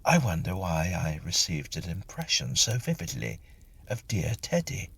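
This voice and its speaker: Man putting on a prim, breathy, murmuring lady. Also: sits low, sing-song, normal speed, fairly bright tone, heavily acted. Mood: startled wonder. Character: prim, fluttery lady, secretly fond and easily startled.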